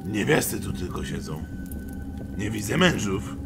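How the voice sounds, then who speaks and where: A man's voice: on the low side, animated, a little slow, over music. A man playing a brooding, vengeful warrior in a legend told as a comic-book radio play. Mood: menacing.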